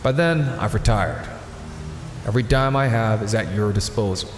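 An actor playing an earnest, guilt-ridden older vigilante hero in an audio drama, pleading yet resolute. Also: male voice, on the low side, normal speed, close to an everyday voice. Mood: firm, persuading. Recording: echoey, reverberant hall sound. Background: music.

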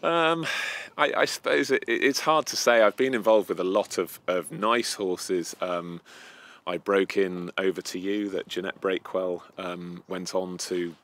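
Low flat-voiced man, halting. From North Wales. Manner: hesitant, many ums and restarts. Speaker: event rider interviewee. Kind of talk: casual interview answers. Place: outdoor terrace by a house.

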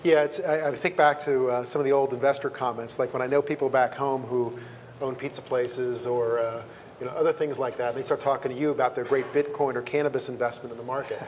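A man's voice: mid-range voice, fairly even intonation, fast, slight background noise. A middle-aged man moderating a panel on a conference stage in a conversational way. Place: conference stage before an audience.

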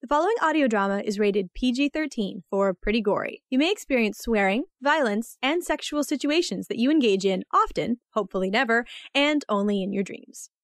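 A woman's voice: fairly high pitch, expressive, fairly quick, few pauses, no room sound, clean studio sound. A woman reading a scripted, tongue-in-cheek disclaimer as an announcer. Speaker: content warning announcer.

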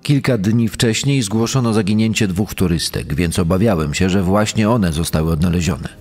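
Low, husky deputy sheriff. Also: male voice, moderate pace, darkish tone, faint music behind. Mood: sober. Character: male deputy sheriff soberly recounting a case. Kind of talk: dramatized testimony.

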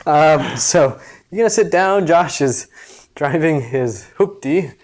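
A man's voice: on the high side, animated, takes it slowly. Informal American male fitness coach. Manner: jokey, informal explanatory. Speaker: movement coach and video host. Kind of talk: casual exercise demonstration. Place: small indoor gym.

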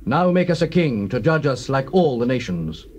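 A man's voice: mid-range voice, sing-song, normal speed, darkish tone, close to an everyday voice. An actor playing a blunt, demanding male elder in a dramatized scripture reading. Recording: hissy old cassette recording.

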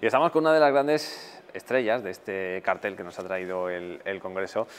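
Brief: thirties male host, low voice, conversational interview questions, lapel mic. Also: normal speed. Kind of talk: hosting a TV magazine interview. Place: indoor interview set.

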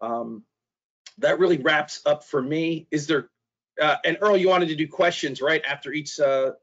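A middle-aged man giving a webinar presentation, hoarse and losing his voice, halting with fillers and restarts and clearing his throat. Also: on the high side, fairly bright tone. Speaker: trade specialist presenter. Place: small office. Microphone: video call. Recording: dull lo-fi video call audio.

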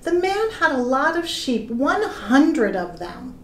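A middle-aged woman reading a children's story aloud in a deliberate, expressive way, addressing young listeners. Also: sits high. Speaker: pastor reading stories to children. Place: indoor room with plain walls. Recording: echoey room.

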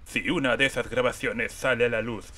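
A man's voice: medium pitch, fairly even intonation, fairly quick, pushed and bright. A man voicing an exasperated old Sith lord in a comedic parody fan dub, with theatrical, incredulous scolding.

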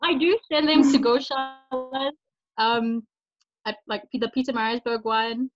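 A woman's voice: on the high side, fairly even intonation, measured pace. Young woman chatting on a video call. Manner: chatty, light laughs, informal. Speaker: participant sharing her craft. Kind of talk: casual video call chat. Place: indoor bedroom with posters. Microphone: laptop webcam on video call. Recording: dull lo-fi video call audio.

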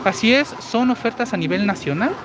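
A man in his forties with a high, girlish-sounding voice, chatting through a live store walkthrough and reading viewer comments. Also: lively intonation, fairly quick. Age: 40s. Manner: chatty, explains deals while walking. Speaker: shopping deals streamer. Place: large indoor supermarket. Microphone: phone held while walking. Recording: noisy store ambience.

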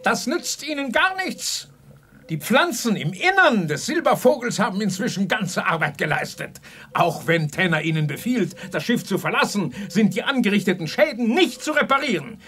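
An actor playing a pompous, gloating megalomaniac scientist villain in a theatrical science-fiction radio play. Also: male voice, high-pitched, big pitch swings, moderate pace, fairly bright tone, breathy edge, full character voice. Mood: smug, commanding. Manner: pompous, theatrical, speaks of himself in third person.

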